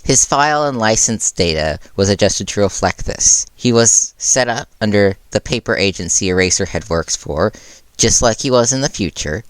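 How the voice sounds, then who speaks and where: A man's voice: lowish voice, no echo. A man reading his own fan fiction aloud as a narrated story. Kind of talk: narrated storytelling with character voices.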